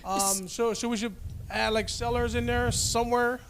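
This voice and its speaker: A man in a meeting asking a question hesitantly, with pauses and restarts. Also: very high voice, flat delivery, background noise.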